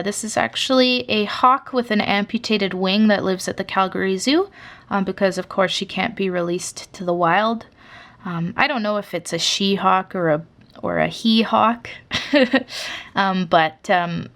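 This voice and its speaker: A woman's voice: medium pitch, a bit bright. A young woman from Canada chatting casually through a sketchbook flip-through, rambling with lots of fillers. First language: English. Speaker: artist showing her sketchbook.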